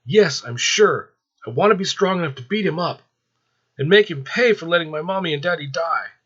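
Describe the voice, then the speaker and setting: A man plays a small orphaned boy in a storybook reading, his voice pushed high and a little husky. He is tearful, hurt and set on revenge. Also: animated, moderate pace, a bit bright, highs cut off. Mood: resolute.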